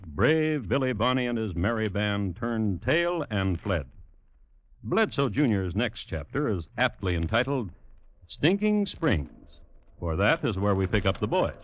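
A man's voice: fairly low pitch, very animated, a little slow. A man narrating an old-time radio program with dry, ironic storytelling. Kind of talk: wry scripted radio narration. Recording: muffled old radio recording.

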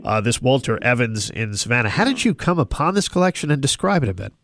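A man's voice: medium pitch, very animated, fast talker, creaky, fairly bright tone, slight background noise. A man hosting a book interview show, reading prepared introductions and putting questions to a guest. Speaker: radio host interviewing authors.

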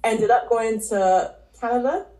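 A woman from Barbados, a podcast guest and health coach, telling her story in a relaxed, casual way with frequent ums and likes. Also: fairly high pitch, measured pace. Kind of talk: casual interview talk. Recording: echoey room.

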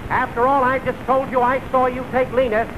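High-voiced blustering double-talk man. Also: little pitch movement, a little fast. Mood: indignant, blustering. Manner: earnest, blustering gibberish delivery. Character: indignant fast-jabbering male cousin spouting double-talk nonsense. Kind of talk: 1940s radio comedy acting, double-talk. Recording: noisy vintage radio recording.

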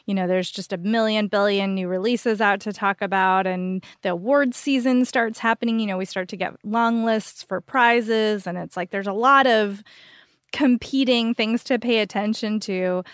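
A woman's voice: mid-range voice, normal speed, dry recording, studio quality. A woman co-hosting a book podcast, giving recommendations in a casual, enthusiastic and emphatic way.

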